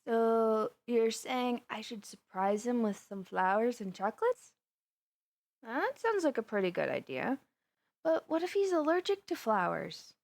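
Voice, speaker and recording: A woman's voice: a little high, big pitch swings, a little slow, vocal fry, no room sound, heavily acted. Dry, close, dramatic lovestruck supervillainess voiced by a woman. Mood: thoughtful, bashful. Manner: chatty, dramatic, playfully indignant, with sighs and gasps. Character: flustered, lovestruck supervillainess confiding in her best friend. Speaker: voice actor. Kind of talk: roleplay audio drama, intimate one-sided conversation.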